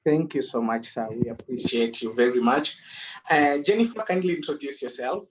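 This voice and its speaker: A man's voice: medium pitch, normal speed. A man hosting an online panel, polite and formal, with many fillers. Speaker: host.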